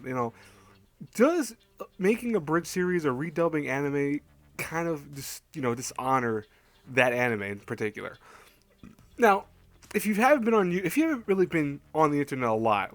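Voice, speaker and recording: A man's voice: on the high side, a bit bright, dry recording. A man hosting a YouTube show with casual opinion commentary, often hedging and correcting himself mid-sentence. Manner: hedging, halting, self-correcting. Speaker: YouTube host.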